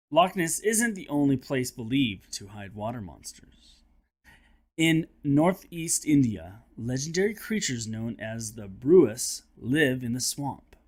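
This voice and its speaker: Expressive man reading aloud to children. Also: medium pitch. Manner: expressive, teacherly, pauses to address listeners with questions. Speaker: third grade teacher reading aloud for children. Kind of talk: read-aloud of a children's nonfiction book.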